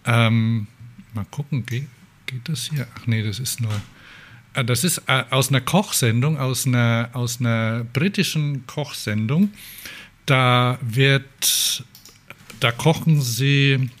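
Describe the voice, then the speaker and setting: Man, unhurried slow delivery, medium pitch, casual podcast host, anecdotal chat. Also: fairly bright tone.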